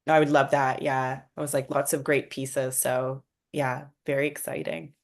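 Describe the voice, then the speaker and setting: A woman's voice: rumbling low, flat delivery, normal speed, vocal fry. A woman screenwriter and director chatting casually and reflectively in a discussion of a script. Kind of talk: casual discussion after a script table read.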